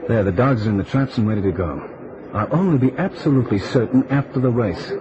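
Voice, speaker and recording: A man's voice: medium pitch, animated, quick pace, lightly acted. A man acting an easygoing, confident private detective with slangy talk in a vintage radio drama. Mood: knowing, measured. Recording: muffled vintage radio recording, clear hiss.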